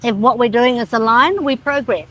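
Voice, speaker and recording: A woman's voice: on the high side, normal speed. Woman fitness coach, eager and agreeable, casual interview in a small studio. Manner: warm, eager, agreeable, with many restarts and frequent yeahs.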